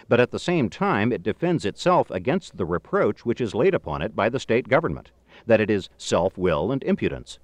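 A middle-aged man reading a philosophical book aloud in a formal, measured, emphatic way, with deliberate phrasing. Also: on the low side, dry recording. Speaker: audiobook narrator.

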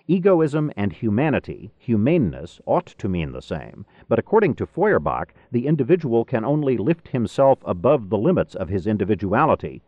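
A man reading philosophy as an audiobook narrator, with a measured, emphatic delivery and deliberate pauses, on a dull cassette recording. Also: lowish voice, a little mellow, no room sound. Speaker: audiobook reader.

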